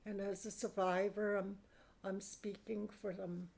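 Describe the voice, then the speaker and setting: A woman, a residential school survivor, speaking earnestly in a slow, halting personal testimony. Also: mid-range voice, level tone, creaky, a little mellow.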